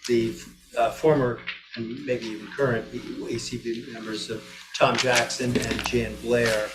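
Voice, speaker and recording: A man chairing a village board public hearing, brisk and informal with light jokes, speaking at a table microphone. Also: mid-range voice. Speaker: meeting chair running the hearing. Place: village board meeting room. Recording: roomy meeting hall with music.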